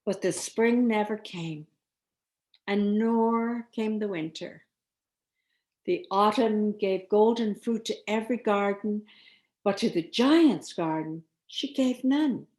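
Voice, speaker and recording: Slow, expressive woman reading a children's story. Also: mid-range voice. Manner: expressive, with dramatic emphasis. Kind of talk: children's story read aloud.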